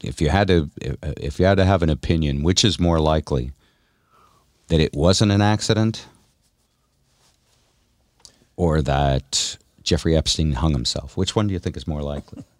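Deep-voiced, wry man hosting talk radio. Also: moderate pace, darkish tone, no echo, studio quality. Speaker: radio show host. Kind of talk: casual radio banter.

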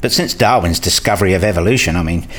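A man's voice: deep voice, quick pace, a bit bright. A man playing an inquisitive hobbyist in a radio play, questioning and reasoning aloud.

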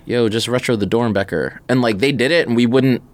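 A man's voice: medium pitch, fast talker, dry recording. An American man co-hosting a podcast, talking casually in laid-back slang. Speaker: podcast co-host. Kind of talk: casual chat about sneakers.

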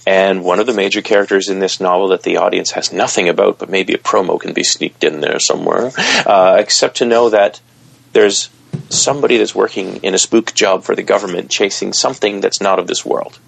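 A man guesting on a podcast as an author, his voice heavily gravelly with a husky edge. He sits a little low in pitch and talks eagerly at length. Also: brisk, low-quality recording. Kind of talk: casual interview chat.

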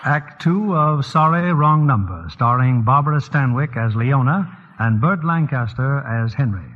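A man's voice: medium pitch, lively intonation, measured pace. An American man hosting and narrating in a scripted 1940s radio announcer style. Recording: muffled old radio broadcast.

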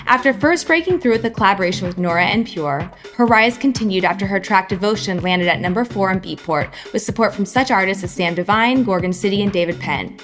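A woman's voice: mid-range voice, a bit bright. A woman hosting a dance-music radio show, reading a guest introduction, then chatting and reacting with gushing enthusiasm. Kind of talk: read introduction then casual interview.

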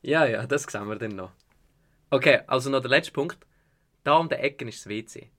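A man's voice: medium pitch, normal speed, a bit bright, close to an everyday voice. A young man playing a sociable, laid-back rich teenage party host in an amateur radio drama, with breezy slang full of English words. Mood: casual, offering. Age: young adult.

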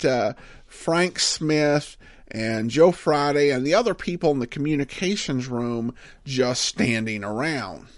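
Male podcast host, lively intonation, hesitant explanatory commentary, close dry recording. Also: a little high, dry recording. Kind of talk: casual episode commentary.

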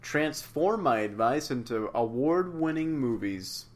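A young man co-hosting a casual comedy podcast, voice tired from a day of talking, playful and quick to laugh. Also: medium pitch, animated. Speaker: podcast co-host and writing tutor.

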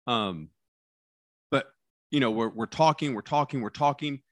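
A man who is a criminal defense lawyer talking casually about trial practice, with a loud, carrying voice, acting out courtroom lines and dropping his voice low for effect. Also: a little slow, no room sound, studio quality. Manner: animated storyteller, acts out courtroom lines, drops voice low for effect.

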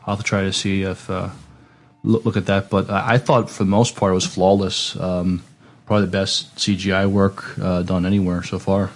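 A man's voice: low voice, flat delivery, moderate pace. A man talking casually as a panelist on a podcast film discussion. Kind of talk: casual chat.

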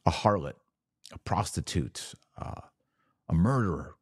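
A man's voice: sits low, lively intonation, unhurried, darkish tone, husky, no echo, studio quality. A man hosting a religious podcast, explaining in an emphatic monologue with rhetorical questions and repeated key words. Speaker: podcast host.

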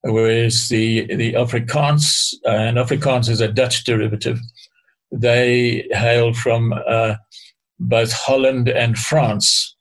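An older man speaks in a gravelly, creaky voice that stays flat and level in pitch. He explains things in a measured, hesitant way, often pausing and starting phrases again, as a guest being interviewed. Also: low-quality recording. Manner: hesitant, measured, frequent restarts. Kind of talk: explaining history in conversation.

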